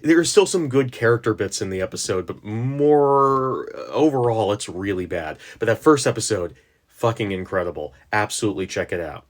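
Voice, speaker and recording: A man's voice: on the low side, lively intonation, normal speed. A man talking casually as the host of a fan video guide, jokey, sweary and prone to rambling off on tangents. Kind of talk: casual rambling video commentary on sci-fi stories.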